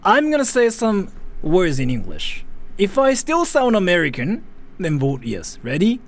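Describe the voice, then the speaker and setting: A man from Japan hosting a livestream, chatting casually as he reads viewer comments, animated and defensive, with lots of restarts. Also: high voice, unhurried. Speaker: livestream host.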